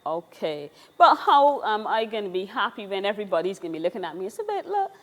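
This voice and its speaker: A woman's voice: medium pitch, sing-song, fast talker. A woman with a Barbadian accent hosting a casual interview, recorded with a long, hall-like reverb. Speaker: interviewer, host.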